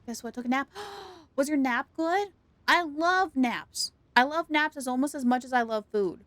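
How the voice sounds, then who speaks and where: A woman livestreaming a game with casual, playful, expressive commentary for her viewers. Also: high-pitched, moderate pace, no room sound. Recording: game music behind the voice.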